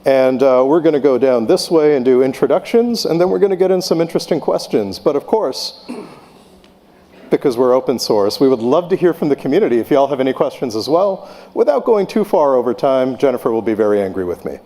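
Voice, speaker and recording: A man moderating a conference panel, introducing speakers with a joking, relaxed manner. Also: medium pitch, fairly quick. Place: large conference hall. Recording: long hall reverb.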